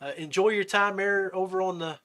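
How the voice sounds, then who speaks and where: A man's voice: sits high, fairly even intonation, brisk, bright, forceful tone. A man hosting a podcast in a casual chat, talking in a folksy, buddy-like way. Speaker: podcast host.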